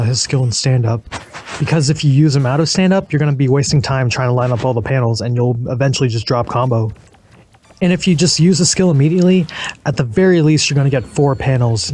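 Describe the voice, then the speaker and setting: Male gaming video creator, medium pitch, informal and slightly hesitant, casual guide-style commentary. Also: a little mellow, a little hiss or hum.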